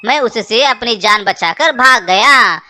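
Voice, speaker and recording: A woman's voice: on the high side, lively intonation, measured pace, pushed and bright, exaggerated voice. A woman voicing a hapless, henpecked male crow husband in a children's cartoon, pleading and melodramatic. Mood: complaining. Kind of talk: children's cartoon story dialogue.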